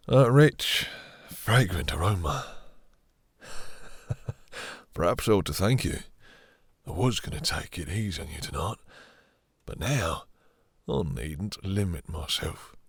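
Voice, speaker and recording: A man playing a possessive, domineering vampire master in an intimate roleplay audio drama, with slow, theatrical, condescending menace and a breathy, seductive purr. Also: deep voice, expressive, no room sound, studio quality, heavily acted. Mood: seductive, hungry.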